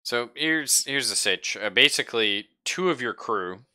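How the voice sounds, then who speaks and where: A man acting as game master in a tabletop roleplaying game, describing things conversationally and haltingly as he thinks aloud. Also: mid-range voice, expressive, bright, forceful tone, clean tone. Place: live-streamed game session.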